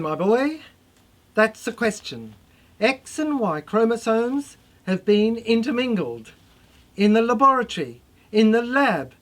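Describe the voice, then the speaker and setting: A woman performing a theatrical dramatic monologue as an unhinged mad scientist in an asylum, rambling obsessively in repetitive fragments. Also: medium pitch. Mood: musing, intense. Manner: stammering, repetitive, fragmented delivery.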